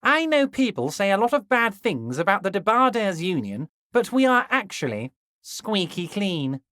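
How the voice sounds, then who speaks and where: A man voicing a scheming, smarmy middle-aged union boss in a video game, ingratiating and slow with oily reassurances that carry a veiled threat. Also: sits high, big pitch swings, bright, forceful tone, dry recording, clean studio sound, heavily acted. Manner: ingratiating, keeps repeating the listener's name. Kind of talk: recorded game dialogue lines.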